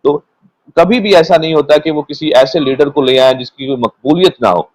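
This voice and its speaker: A man's voice: fairly high pitch, moderate pace, darkish tone, no echo, poor audio. Pakistani man giving dry-sounding political analysis. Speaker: guest political commentator. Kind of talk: unscripted political analysis in a panel discussion.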